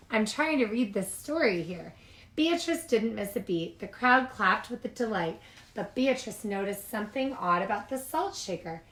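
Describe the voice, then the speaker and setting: A woman reading a children's storybook aloud in an expressive manner. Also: mid-range voice. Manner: expressive, acts out dialogue and exclamations. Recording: echoey room.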